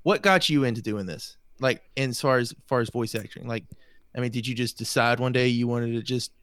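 A man's voice: medium pitch, a little fast, a bit bright, clear, resonant tone, no echo. A man hosting an interview podcast, chatty and rambling with frequent restarts. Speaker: podcast host.

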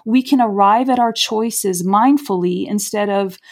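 A woman's voice: medium pitch, unhurried, darkish tone. A woman playwright as a podcast guest, talking in an earnest, reflective way and repeating words for emphasis. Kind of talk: podcast interview.

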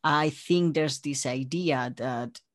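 A man chatting casually as an interview guest, telling things in an animated way by quoting himself and others. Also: a little high, takes it slowly. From Spain. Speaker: interview guest, audio drama creator. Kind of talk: casual chat.

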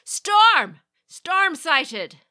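A woman voicing a panicked male sailor on lookout, shouting frantic warnings. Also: very high-pitched, very animated, very slow, pitched above the performer's own voice, heavily acted.

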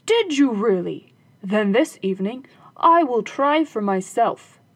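The robbers speak up loud and blunt, pressing their complaint, as a woman reader voices them. The voice stays near her own, slightly high and husky, recorded dry and close. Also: expressive, a little slow, no echo. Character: band of gruff robbers, grown men.

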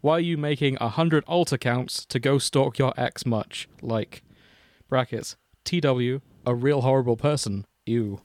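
A man's voice: medium pitch, a little mellow, no room sound. A man co-hosting a casual comic podcast. He reads fanfiction aloud and jokes in a brash, sweary way. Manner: brash, sweary, quick to joke. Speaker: podcast co-host and reader.

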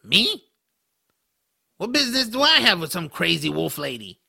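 A man voicing a bitter, sarcastic male troll in an audio drama, with a harsh gravelly voice and a grumbling manner. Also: high voice, sing-song, moderate pace, bright, forceful tone, exaggerated voice. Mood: wary. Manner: sardonic, grumbling.

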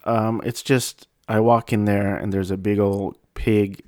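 A young man tells a personal story in a low, rambling, self-deprecating way, restarting his sentences often. The sound is dry and close. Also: little pitch movement, a little slow, darkish tone, no room sound. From United States.

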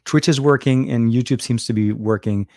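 A young man from Lebanon hosting a casual livestream from home. He rambles and restarts his sentences often, and his voice sits at an ordinary middle pitch. The sound is dry and close, with little room reflection. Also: dark tone, no echo. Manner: rambling, halting, with frequent restarts and fillers. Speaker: livestream host.

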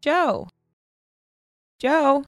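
An actress playing a gentle, shy, sweet young American sister, earnest and casual, in a naturalistic modern audio drama. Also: female voice, high-pitched, expressive, drawn-out words, no echo, studio quality, faint music behind, exaggerated voice. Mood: quietly pleased.

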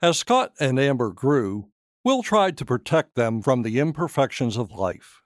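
A man narrates a short story at a slow, deliberate pace. His intonation moves freely and expressively, and the recording is close and dry. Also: medium pitch, very animated, dry recording. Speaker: author reading his own short story.

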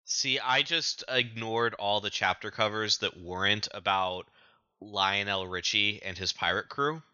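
Male podcast co-host. Deep, sharp-edged voice, stop-start casual storytelling, slightly lo-fi sound. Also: steady tone, unhurried, clear, resonant tone, no room sound. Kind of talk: casual recap of manga chapters.

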